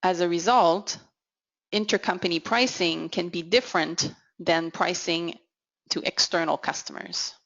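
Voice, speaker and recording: A woman giving an instructional software walkthrough as a consultant, in a measured, explanatory way. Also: lowish voice, fairly even intonation. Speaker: technical consultant presenting a software demo. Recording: dull, lo-fi audio. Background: voice-over for a screen recording.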